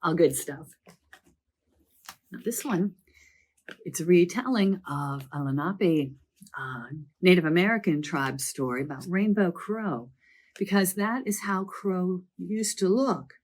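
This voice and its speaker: A croaky woman with a summer cold, a children's librarian presenting a library program and telling the stories from each book in a chatty, lively way. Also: on the low side, slow pace, long pauses. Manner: chatty, lively storytelling delivery.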